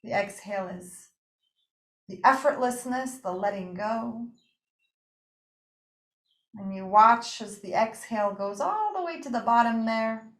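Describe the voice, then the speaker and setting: A woman teaching breathing and yoga nidra, guiding a relaxation practice with gentle, invitational phrasing and pauses. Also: on the high side, lively intonation. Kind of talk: guided meditation and relaxation instruction. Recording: roomy, echoing room sound.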